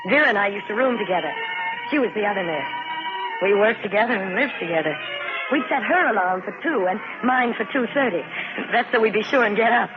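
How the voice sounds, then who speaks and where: Actress as modest young flight nurse, tinny old radio. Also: female voice, medium pitch, expressive, a little fast, over music, lightly acted. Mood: modest, hesitant. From Alabama, United States. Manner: hesitant, understated, dryly joking. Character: modest young American flight nurse, a wry war heroine. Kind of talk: 1950s radio drama acting. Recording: noisy, tinny 1950s radio recording.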